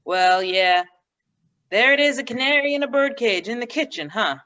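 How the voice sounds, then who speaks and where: A woman's voice: on the high side, lively intonation, moderate pace, pushed and bright, highs cut off. A woman playing a folksy, eager male police assistant in a 1940s-style radio mystery.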